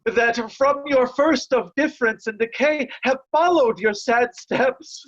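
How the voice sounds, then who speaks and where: A woman's voice: high-pitched, a little slow, highs cut off. A woman playing a loyal, sorrowful nobleman and servant in a Shakespearean play reading. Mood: sorrowful, earnest.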